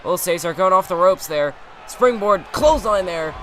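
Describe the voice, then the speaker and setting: Excitable young man calling a game. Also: sits high, normal speed, some chatter behind, slight background noise. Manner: excitable, rambling play-by-play with frequent restarts. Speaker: let's-play commentator. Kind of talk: play-by-play game commentary.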